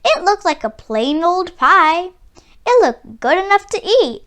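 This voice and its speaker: A woman reading a children's story aloud in an expressive, theatrical way, drawing out key words for emphasis. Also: very high voice, unhurried. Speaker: children's book reader.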